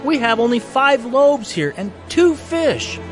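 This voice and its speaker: Guy playing a humble disciple in an audio bible, very high, slow and animated. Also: faint music behind, full character voice. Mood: doubtful. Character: earnest humble male disciple. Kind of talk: dramatized audio Bible acting.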